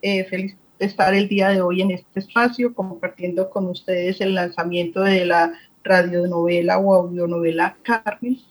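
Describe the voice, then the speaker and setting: A woman with a Colombian accent and a slightly husky voice, hosting an interview and introducing a guest. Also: on the low side, steady tone, moderate pace, poor audio. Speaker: host, interviewer.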